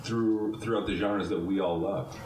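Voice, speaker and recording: Male podcast co-host, lowish voice, relaxed banter, picked up from across an echoey room. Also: normal speed. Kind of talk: casual chat. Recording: echoey room, mic at a distance, faint noise.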